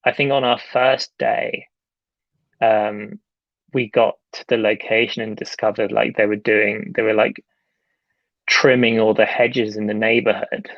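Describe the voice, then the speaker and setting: A man's voice: on the low side, little pitch movement, measured pace, no room sound, lo-fi sound. A young man, a film director, answering interview questions in a casual, hesitant way, with lots of fillers and restarts, over a video call.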